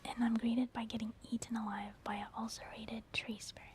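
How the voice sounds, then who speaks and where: A woman doing soft, whispered ASMR commentary over gameplay, chatting informally to her viewers. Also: a little high, flat delivery, brisk, slight background noise. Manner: informal, conversational, addressing viewers. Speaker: ASMR gaming creator.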